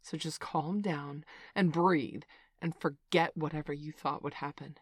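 A woman's voice: sits low, steady tone, unhurried, slightly rough, dry recording, studio quality. A woman acting a flustered parent in a scripted audio roleplay, who swings between scolding and soothing. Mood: stern. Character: flustered protective parent, middle-aged.

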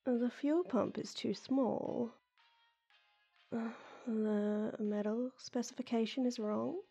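Slow whispery woman, high and husky. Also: steady tone, mellow, highs cut off, soft background music. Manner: whispery, breathy, slow and teasing. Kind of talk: scripted audio roleplay.

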